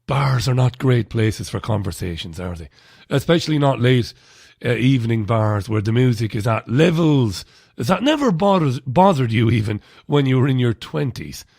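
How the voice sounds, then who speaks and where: Throaty, gravelly-voiced man hosting live radio. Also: medium pitch, animated, normal speed, a little mellow, dry recording, studio quality. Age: 40s. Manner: blunt, chatty and sardonic. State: slightly throaty. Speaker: radio show host. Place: radio studio.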